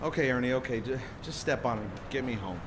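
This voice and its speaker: A man's voice: medium pitch, fast, close to an everyday voice. A man playing a bewildered, stammering, agitated everyman family man in a 1940s-style radio play. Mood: desperate, confused. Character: frantic, bewildered everyman husband and father. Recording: live stage play with music behind.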